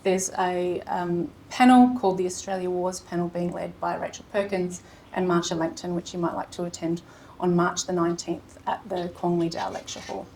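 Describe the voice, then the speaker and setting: A woman giving a prepared, formal acknowledgement to an audience as an event host, in a measured manner. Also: on the low side, a little mellow, a little hiss or hum, poor audio. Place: seminar room with audience.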